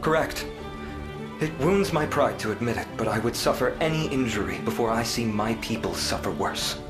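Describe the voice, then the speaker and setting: An actor playing a proud, dutiful uncrowned prince in a fantasy game, with regal, formal and deliberate phrasing. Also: male voice, medium pitch, lively intonation, lightly acted. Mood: solemn. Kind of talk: dramatic fantasy game voice acting. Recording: loud orchestral music behind.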